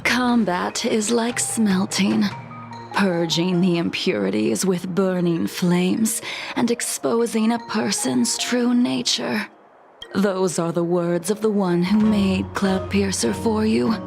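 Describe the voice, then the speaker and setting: A woman playing a cold, composed, ageless swordswoman in a video game, speaking in a measured, softly teasing way. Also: mid-range voice, vocal fry. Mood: solemn, pressing. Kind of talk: dramatic video game voice acting. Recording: loud game music behind.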